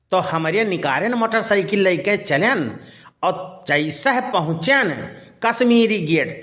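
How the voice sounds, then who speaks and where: Man acting a cartoon village uncle, Awadhi accent, high pitch, bright voice, folksy rambling storyteller. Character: folksy village uncle telling tall anecdotes. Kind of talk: cartoon storytelling in rustic dialect.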